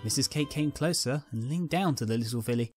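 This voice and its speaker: A woman's voice: rumbling low, a little fast, darkish tone, faint music behind. A woman narrating a scripted fan fiction story as its reader.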